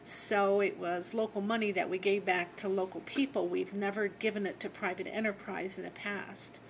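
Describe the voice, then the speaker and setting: A woman speaking as a local official in a meeting, in a measured, diplomatic way. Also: medium pitch, steady tone, gravelly, rough recording. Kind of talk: formal meeting remarks. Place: indoor public meeting room. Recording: faint background noise.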